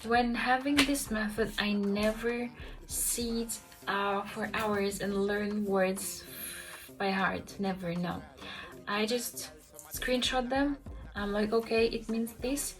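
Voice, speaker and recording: A woman's voice: medium pitch, fairly even intonation, slow pace, rough recording. Chatty young woman. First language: Russian. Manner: chatty, many fillers, speaks to viewer directly. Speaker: language-learning vlogger. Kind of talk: casual vlog tips to camera. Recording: music playing underneath.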